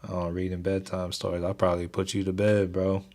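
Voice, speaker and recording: Young male streamer, very deep and soothing, even delivery, laid-back chat. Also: brisk. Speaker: stock trading livestream host. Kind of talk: casual livestream chat with viewers.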